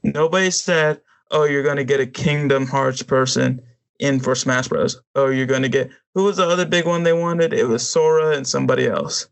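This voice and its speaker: A man's voice: medium pitch, normal speed, creaky, rough recording. A man co-hosting a podcast, ranting casually to friends in a blunt, emphatic way. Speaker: podcast co-host. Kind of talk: casual opinion rant among friends.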